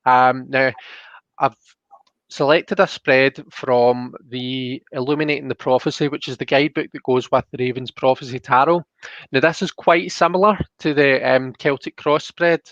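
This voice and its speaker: A man's voice: medium pitch, steady tone, normal speed, fairly bright tone, dry recording. Man with dull, lo-fi sound. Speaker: host. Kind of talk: casual livestream tarot reading chat.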